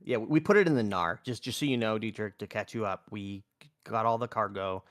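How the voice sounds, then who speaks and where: A man's voice: fairly low pitch, fairly quick. A man playing a tabletop roleplay game, explaining things in casual chat.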